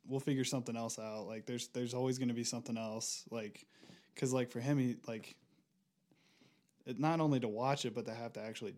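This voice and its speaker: A young man speaking as a podcast guest, reflective and hesitant, working through his thoughts with frequent pauses. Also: mid-range voice, steady tone, a little mellow. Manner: reflective, hesitant, many fillers and restarts. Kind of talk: casual conversation.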